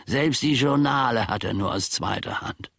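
An older man playing an aggrieved, sly old manservant in a radio play, grumbling and scornful in a gravelly, husky voice, speaking with theatrical deliberation. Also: medium pitch, moderate pace, a bit bright, no echo, studio quality. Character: aggrieved, sly old manservant settling scores with his master.

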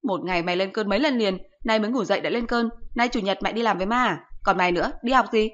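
A woman's voice: medium pitch, quick pace, highs cut off, close to an everyday voice. A woman voicing a blunt, sarcastic middle-aged mother who nags her son. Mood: scolding. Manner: sharp, sarcastic nagging.

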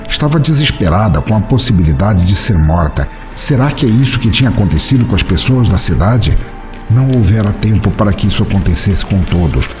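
A Brazilian man with a fairly low voice delivers scripted, dramatic narration. His intonation is very animated and his wording literary, with faint music behind him. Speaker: podcast host and narrator.

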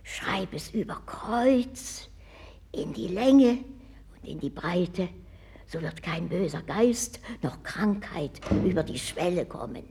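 An actress playing a frightened, superstitious old woman in a 1960s German radio play, muttering charms in a breathy, incantatory way. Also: fairly low pitch, expressive, dark tone, a little hiss or hum. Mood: secretive, incantatory. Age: older. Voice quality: breathy, hoarse half-whisper. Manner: slow, incantatory, stubborn.

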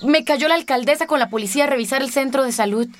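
An actress playing a harried, defiant village health activist in a radio drama, agitated and determined. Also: female voice, high voice, fast, close to an everyday voice. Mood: agitated, indignant.

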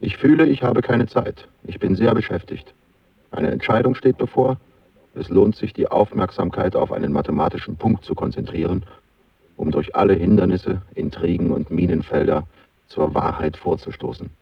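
Very deep, gravelly weary idealist. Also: male voice, moderate pace, no room sound, far from the mic, muffled recording, heavily acted. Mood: urgent, insistent. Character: weary, idealistic artist voicing his inner diary. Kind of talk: literary radio play monologue.

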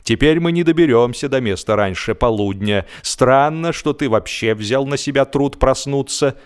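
A man voicing a grumbling, argumentative Victorian gentleman who retorts tartly in a comic audiobook. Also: mid-range voice, moderate pace, clean tone, pitched above the performer's own voice, natural voice. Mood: reproachful.

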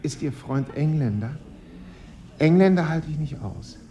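Higher-voiced, breezy chatty waiter. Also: male voice, lively intonation, a little slow, mellow, dull audio, a little hiss or hum. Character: chatty, cheeky male waiter, familiar with guests. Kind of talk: radio drama dialogue.

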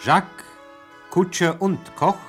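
Slow, formal German male radio announcer. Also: sits high, fairly even intonation. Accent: standard German. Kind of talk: formal scripted cast credits. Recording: announcement over prominent music.

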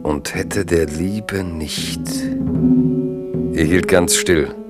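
A grave male narrator telling a radio drama. His voice sits very deep and moves slowly, and a loud guitar plays behind him. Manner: grave, solemn storytelling. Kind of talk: scripted radio-play narration. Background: guitar music.

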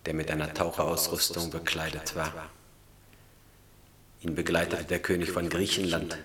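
A man reading literary radio-play narration, deadpan and unhurried. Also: extremely low pitch, slight background noise.

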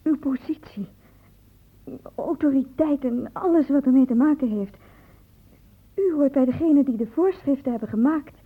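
A woman's voice: sits high, normal speed, pauses often, lightly acted. A woman playing an anxious, guarded and loyal young student in a Dutch radio drama. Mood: guarded, defiant. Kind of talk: Dutch radio play acting. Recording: muffled, phone-like old radio recording.

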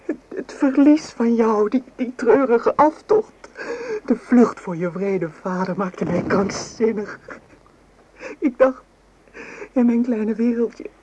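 An actress playing a lovesick, guilt-ridden young maidservant in a radio play, with halting, breathy, pleading delivery. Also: female voice, fairly high pitch, mellow. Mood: anguished, desperate. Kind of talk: melodramatic Dutch radio play acting. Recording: noisy old radio drama recording.

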